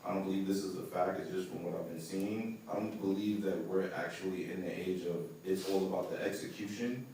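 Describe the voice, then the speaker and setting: A man with a deep voice asking a panel a question in a casual, earnest way at a live event. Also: steady tone, a little fast, few pauses, dark tone, a little hiss or hum. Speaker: audience member asking a question. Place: large indoor event space. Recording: echoey hall from far off.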